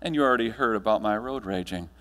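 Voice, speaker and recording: An American man delivering a rehearsed personal-story keynote as a motivational speaker on stage, speaking in deliberate, emphatic short phrases. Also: on the low side, a bit bright. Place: large indoor hall, speaking on stage. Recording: echoey hall with mains hum.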